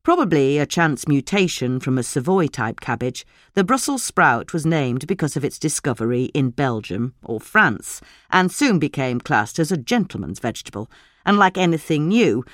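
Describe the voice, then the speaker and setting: A woman's voice: deep voice, dry recording, clean studio sound. A woman reading a scripted article aloud as a narrator.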